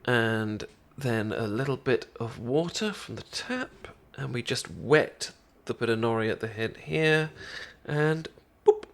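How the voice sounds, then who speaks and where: A man acting a shy British best friend with a crush in a romantic ASMR roleplay. He speaks slowly and tenderly, stammering and hesitating, affectionate throughout. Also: medium pitch, lively intonation, gravelly. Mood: gentle, instructing. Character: shy, affectionate boyfriend-to-be best friend teaching sushi making.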